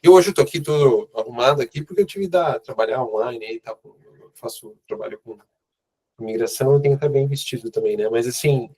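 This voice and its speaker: Husky-voiced Brazilian man in casual conversation. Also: medium pitch, brisk, warm tone, poor audio. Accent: Brazilian Portuguese. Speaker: host, immigration consultant.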